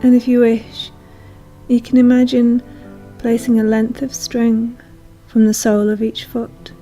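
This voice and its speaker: A woman leads a guided meditation in a soothing, hushed manner, leaving long pauses. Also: on the high side. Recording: soft music behind voice. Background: calm meditation music.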